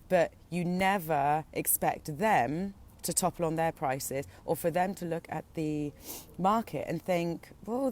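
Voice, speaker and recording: A woman's voice: sits low, a little hiss or hum. A woman who is an equine photographer, giving a chatty, encouraging impromptu talk to her group, a little stuffy from a cold. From Britain. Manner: chatty, encouraging, relaxed with filler ums. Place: out at lunch.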